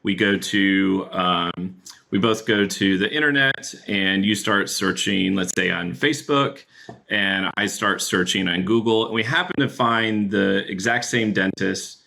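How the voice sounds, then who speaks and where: A man explaining a business software product as a podcast guest, talking in a relaxed way with many fillers. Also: fairly low pitch, unhurried, vocal fry. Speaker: guest, chief product officer.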